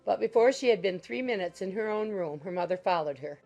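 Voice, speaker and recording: A woman's voice: medium pitch, lively intonation, low-quality recording. Female narrator for a classic novel: medium register, composed literary reading, light musical bed behind. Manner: measured, literary storytelling. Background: soft music.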